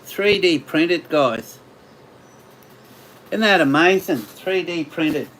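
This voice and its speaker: An Australian man hosting a casual livestream, chatting with viewers in a rambling way, his voice slightly hoarse after hours of talking. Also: fairly high pitch, lively intonation, takes it slowly, fairly bright tone, slight background noise, rough recording. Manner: rambling, chatty, laughs often. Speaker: livestream host. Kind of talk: casual live chat with viewers.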